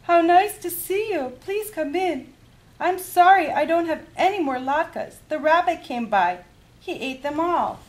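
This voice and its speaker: A woman voicing a kindly, chatty old grandmother in a storybook, with animated, exclamatory delivery. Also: very high-pitched, measured pace, voice pushed up. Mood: delighted welcome.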